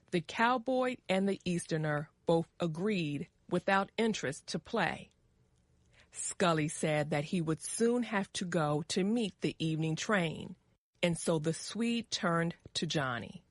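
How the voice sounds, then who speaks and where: A woman narrating a scripted story for English learners, measured and carefully articulated. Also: fairly low pitch, dry recording, studio quality.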